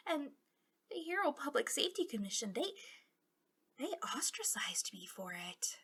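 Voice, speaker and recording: A taunting villainess played by a woman in an ASMR roleplay, her voice fairly high and very breathy, often slipping into a whisper. She drawls slowly and mockingly, with the bitterness of a fallen hero. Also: bright, forceful tone, heavily acted. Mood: bitter. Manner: mocking, conspiratorial, drawn-out drawl.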